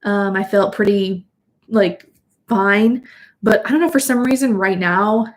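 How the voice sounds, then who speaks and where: A woman's voice: medium pitch, unhurried, slight background noise. Chatty sniffly young woman livestreaming. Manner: chatty, rambling, with fillers and restarts. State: sniffly from allergies. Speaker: vlogger hosting a livestream. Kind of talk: casual livestream chat. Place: at home indoors.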